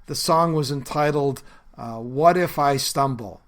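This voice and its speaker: A man hosting his own podcast as a pastor, talking in an earnest, conversational way with frequent fillers, his voice lowered by a bad cold. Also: measured pace. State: has a bad cold, voice lower than normal. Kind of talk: reflective solo podcast talk.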